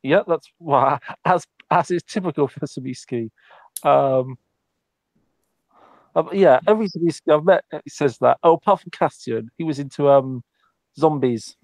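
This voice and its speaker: A man's voice: on the high side, measured pace, a little mellow, dry recording. A man speaking in character during a tabletop roleplay, hesitant, with many ums and restarts.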